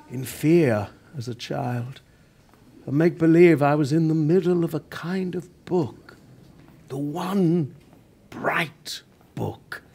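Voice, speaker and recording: Slow, grieving male writer, swooping pitch, acted. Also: darkish tone, slightly rough, exaggerated voice. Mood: reflective. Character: dying male television playwright, grieving, wry and searching. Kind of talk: radio play acting.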